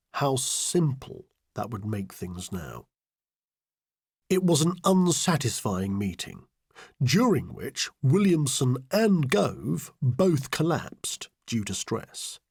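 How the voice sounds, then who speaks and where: A sardonic, self-important scheming political adviser, swooping intonation. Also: male voice, mid-range voice, breathy edge, dry recording, clean studio sound, full character voice. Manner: deadpan, deliberate, sardonic. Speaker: audiobook reader. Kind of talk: satirical first-person diary audiobook narration.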